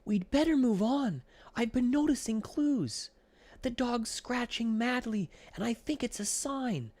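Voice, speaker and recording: A man's voice: extremely high pitch, animated, measured pace, darkish tone, pitched above the performer's own voice, exaggerated voice. A man voicing a worried, earnest little flea brother from a children's storybook, anxious and pleading.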